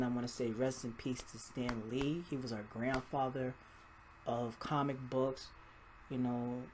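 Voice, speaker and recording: A man's voice: mid-range voice, darkish tone. Casual rambling man, noisy recording. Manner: rambling, informal, mildly profane. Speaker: movie reviewer. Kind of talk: casual spoiler-filled film review vlog.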